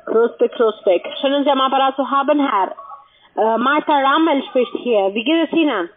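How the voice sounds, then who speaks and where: A woman, not a native German speaker, making a formal, halting phone sales pitch. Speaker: cold caller. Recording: tinny phone line.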